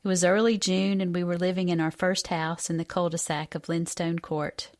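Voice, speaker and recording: Woman, fifties, American-raised, lowish pitch, narrating a personal essay with light music underneath. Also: level tone, moderate pace. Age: 50s.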